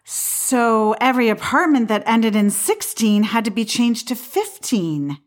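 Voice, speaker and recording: Casting: skeptical romantic cynic, somewhat high voice, flustered and conversational, for short comedic audio drama. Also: female voice, very animated. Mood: casual, curious. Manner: halting, protesting, conversational. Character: skeptical, flustered woman who rejects romantic destiny.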